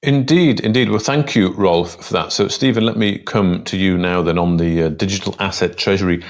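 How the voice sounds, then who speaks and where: A man hosting a business interview, asking brisk, affable questions. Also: low-pitched.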